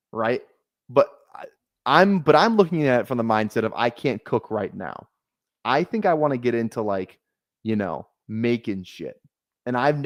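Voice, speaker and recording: A man in his mid-twenties talks loosely to his stream chat, wandering off track, swearing freely and laughing at himself. His voice sits in an ordinary middle range. The sound is dry and close, with no room echo. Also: medium pitch. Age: 20s. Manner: rambling, laid-back, swears often, laughs at himself. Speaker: fantasy football streamer. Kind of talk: casual livestream chat. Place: small apartment room.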